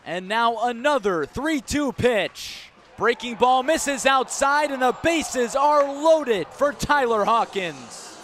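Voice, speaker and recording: A man's voice: very high voice, animated, takes it slowly, few pauses, fairly bright tone, a little hiss or hum. An American man calling live baseball on the radio as play-by-play announcer, narrating dramatically in short, clipped phrases. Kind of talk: live radio sports commentary.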